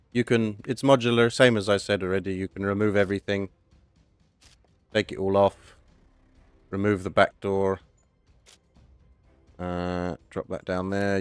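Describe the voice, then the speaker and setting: Hoarse man chatting over gameplay. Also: deep voice, no echo. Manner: chatty, thinking aloud, self-correcting. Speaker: gaming channel host. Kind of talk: casual gameplay commentary.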